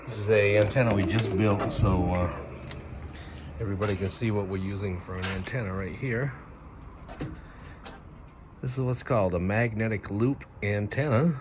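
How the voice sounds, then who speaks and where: A man's voice: lowish voice, fairly even intonation, normal speed, long pauses, noisy background. A man talking casually to camera as a radio hobbyist showing off homemade gear. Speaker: amateur radio hobbyist presenting homemade antenna.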